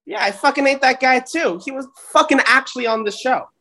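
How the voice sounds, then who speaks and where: A man hosting a comedy wrestling show, ranting with heavy profanity and excitement. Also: very high voice, a little fast. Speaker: host.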